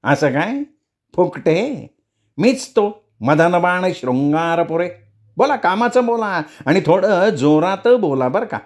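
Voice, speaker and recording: A man acting out a pompous old writer, nasal, high voice, cranky, half-deaf, brusque, comic storytelling. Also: very animated, slow, exaggerated voice. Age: older. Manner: brusque, demanding people speak up.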